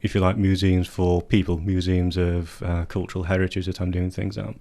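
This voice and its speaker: A man's voice: deep voice, flat delivery, fairly quick, creaky, warm tone. A man, a poet chatting in a radio studio interview and reading his work, with light laughs. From England. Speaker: poet guest.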